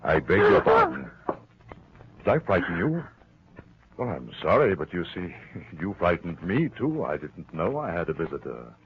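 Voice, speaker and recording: A muffled, thin vintage radio recording of a low, husky American man, a suspected killer in a crime drama. He is patronizing and falsely caring, halting yet insistent, with a pitch that swings widely. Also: wildly swooping pitch. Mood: uneasy, probing. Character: smooth, evasive husband, suspected wife killer, falsely solicitous. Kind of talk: 1940s radio mystery acting.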